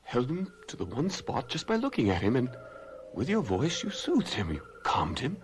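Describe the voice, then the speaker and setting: A man's voice: mid-range voice, wildly swooping pitch, moderate pace. Nervous, melodramatic man, swooping voice. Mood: amazed. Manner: anxious, breathless exclamations, melodramatic. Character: nervous, frightened, obsessively determined man in gothic tale. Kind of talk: old-time radio drama acting. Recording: noisy vintage radio drama recording.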